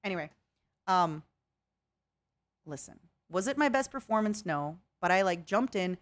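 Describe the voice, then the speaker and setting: A woman's voice: fairly low pitch, animated, unhurried. A young woman streaming a casual chat with viewers, rambling and reading out chat messages. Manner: chatty, rambling, reads chat aloud.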